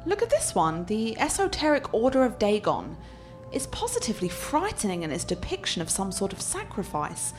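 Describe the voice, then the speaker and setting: A woman plays a troubled, genteel horror heroine for a radio play. Her delivery is theatrical and formal, with wide swoops in pitch over faint music. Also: wildly swooping pitch, normal speed. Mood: fascinated. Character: intense, troubled genteel girlfriend haunted by nightmares. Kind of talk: dramatic radio play acting.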